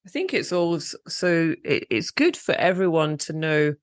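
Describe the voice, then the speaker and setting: Encouraging podcast hostess, dry sound. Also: female voice, sits low, big pitch swings, unhurried, no echo. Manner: friendly, encouraging, asks leading questions. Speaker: podcast host and presentation coach. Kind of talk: casual podcast conversation.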